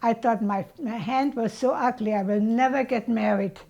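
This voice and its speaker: A woman, telling her childhood story as an interviewee in a slow, reflective way. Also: a little high, darkish tone, dull audio. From Germany. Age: 80s. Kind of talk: reminiscing about childhood.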